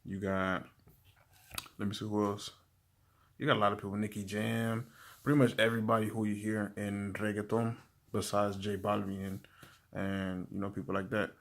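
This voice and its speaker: Low gravelly young man, rambling casually. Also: normal speed. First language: English. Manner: relaxed, rambling, many fillers and restarts. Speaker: language learning YouTuber. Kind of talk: casual tips to camera.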